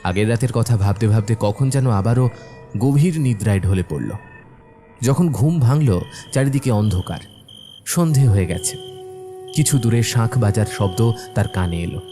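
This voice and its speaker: A man's voice: lowish voice, lively intonation, moderate pace, mellow, faint music behind. A man narrating a scripted horror story as its storyteller.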